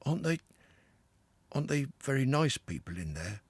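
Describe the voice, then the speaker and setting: A man's voice: on the high side, sing-song, unhurried, husky, no room sound, pitched above the performer's own voice, full character voice. A man doing a timid, wide-eyed mole. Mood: wondering. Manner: eager, nervous, breathless questions. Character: timid, wondering young male mole.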